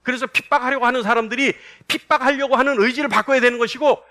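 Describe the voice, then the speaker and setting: Male pastor, high pitch, bright forceful tone, sermon delivery, emphatic, rhetorical questions, repetition for stress. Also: fairly even intonation, fast talker. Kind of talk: church sermon with call-and-response prompts.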